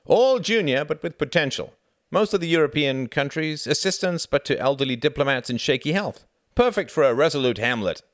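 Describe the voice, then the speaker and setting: Male reader as jovial British diplomat: high-pitched, booming, teasing, very animated, storybook delivery. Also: a little fast, no room sound, clean studio sound, lightly acted. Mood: breezy, enthusiastic. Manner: hearty, exclamatory, persuasive.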